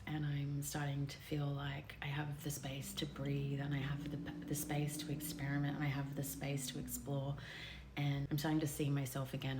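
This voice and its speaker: A woman's voice: low-pitched, noisy background. A woman in her late thirties talking candidly to camera in a personal vlog. She is confessional and often drops to a near whisper. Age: 30s. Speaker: vlogger.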